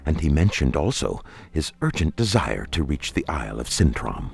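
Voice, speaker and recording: A male audiobook narrator with an extremely deep voice and a gravelly creak. He reads dark fantasy solemnly and deliberately, with lively swings in intonation, over faint music. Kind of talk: scripted dramatic narration of dark fantasy fiction.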